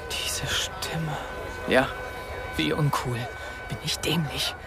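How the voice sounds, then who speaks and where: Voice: mid-range voice, slow, creaky. A performer playing an awkward, lovestruck teenage narrator, self-mocking, in a first-person radio drama. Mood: smitten. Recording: music under the voice. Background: prominent music.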